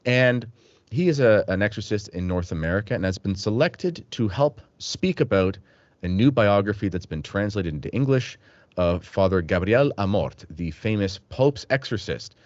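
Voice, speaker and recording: A man's voice: lowish voice, expressive, normal speed, a little mellow, no echo, highs cut off. A man from Canada hosting an interview podcast, chatty and joking, with frequent fillers. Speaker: host.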